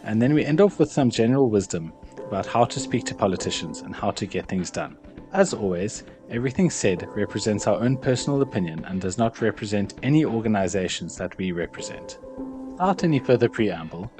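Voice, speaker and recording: A man with a low voice hosts a podcast, speaking in a measured, formal way as he reads his introduction. Music plays clearly behind him. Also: very animated, a little mellow. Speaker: podcast host. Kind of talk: scripted podcast intro and interview questions.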